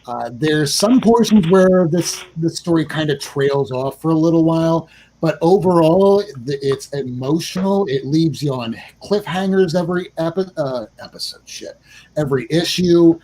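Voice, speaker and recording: A man's voice: on the high side, lively intonation, moderate pace, dark tone, rough recording. Forty-year-old man rambling about comics. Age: 40s. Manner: enthusiastic, rambling, mild swearing. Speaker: podcast co-host. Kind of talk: casual comic book chat.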